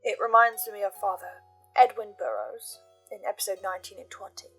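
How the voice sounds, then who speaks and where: A woman giving intense, theatrical scripted commentary with emphatic pauses, like a host laying out a theory. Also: mid-range voice, fairly bright tone, husky, rough recording. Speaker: host of a reaction and theory channel. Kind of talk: dramatic scripted commentary on a fiction podcast. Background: background music.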